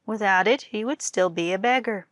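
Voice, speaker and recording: A woman's voice: medium pitch, moderate pace, fairly bright tone, no echo, studio quality, lightly acted. A woman voicing an old beggar man in a folktale, a father-in-law scolding reproachfully.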